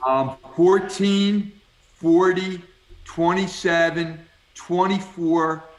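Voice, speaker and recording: Male audience member with a high voice, slow and joking, on a video call. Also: fairly even intonation, poor audio. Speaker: audience participant. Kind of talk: casual chat.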